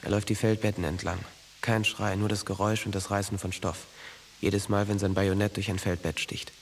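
Verträumter junger Soldat mit knarziger, tiefer Stimme. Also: male voice, fairly low pitch, creaky, a little hiss or hum. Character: dreamy young traumatized soldier who believes he can fly. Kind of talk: naturalistic German radio drama acting.

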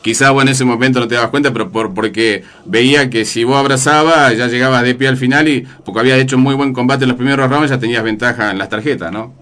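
An Argentine man with an Argentine accent hosting a boxing radio show, asking leading questions in a halting way with many fillers. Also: mid-range voice, a bit bright. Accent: Argentine Spanish. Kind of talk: radio interview.

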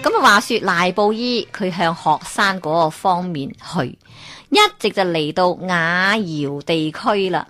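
A woman with a Hong Kong Cantonese accent tells a folk tale in a lively way. She often turns to the listener with rhetorical questions. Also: lowish voice, a little slow, faint music behind. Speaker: storyteller.

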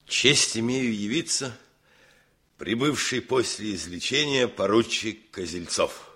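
Radio play role: a blunt, proud lieutenant, very deep, forceful, husky, soldierly. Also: male voice, takes it slowly, full character voice. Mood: formal, stiff. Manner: soldierly, plain-spoken, emphatic. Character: blunt, proud, hearty male army lieutenant.

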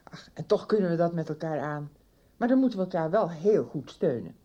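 A weary middle-aged mother in a radio soap. Her voice is soft-edged and a little husky, with lively intonation. She is polite but firm, protective and consoling. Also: deep voice, brisk, lo-fi sound. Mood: tender, consoling. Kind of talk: naturalistic 1990s Dutch radio soap acting.

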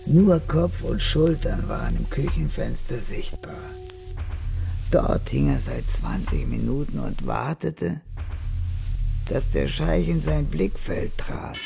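A man narrating a hard-boiled crime audio drama as a grim storyteller with a gravelly voice. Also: a little high, sing-song, takes it slowly, mellow, faint music behind, exaggerated voice. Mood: grim, suspenseful. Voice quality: gravelly, creaky.

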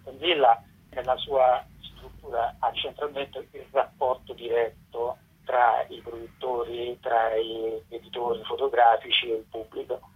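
A man explaining things as an interviewed festival organiser, in halting pieced-together phrases, over a telephone call. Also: mid-range voice, level tone, breathy edge. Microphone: phone call. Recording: tinny, distorted telephone line.

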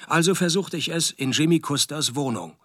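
A man playing a world-weary, sardonic private detective, narrating his case in a radio play in a dry, deadpan way. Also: on the high side, moderate pace, no room sound.

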